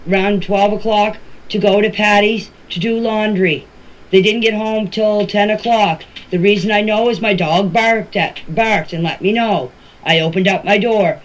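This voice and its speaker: A middle-aged or older woman recounting events informally in voice messages, plainly and step by step, with colloquial grammar. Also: medium pitch. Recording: noisy voice-message clips.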